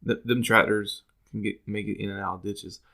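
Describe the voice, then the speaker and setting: A man's voice: fairly low pitch, little pitch movement, brisk, vocal fry, a crowd in the background. A man co-hosting a podcast in a laid-back, rambling, colloquial chat. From small town in the US. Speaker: podcast co-host. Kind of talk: casual chat.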